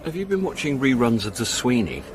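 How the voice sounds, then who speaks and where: An actor playing a wry middle-aged amateur sleuth and put-upon son, in first-person radio drama with an understated manner. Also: male voice, mid-range voice, big pitch swings, fairly quick, slight background noise, lightly acted. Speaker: narrator and lead character.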